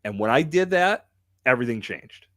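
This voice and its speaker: A man's voice: mid-range voice, sing-song, moderate pace, a bit bright, no room sound. A man hosting a live podcast, talking in a candid, emphatic, colloquial way into a close microphone. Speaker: podcast host. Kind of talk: live conversational podcast talk.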